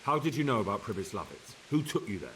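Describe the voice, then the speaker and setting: A man's voice: lowish voice, big pitch swings, fast, fairly bright tone, a little hiss or hum, poor audio. An actor playing a persistent young investigator in a video game drama, direct and businesslike as he questions. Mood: firm, probing. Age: young adult.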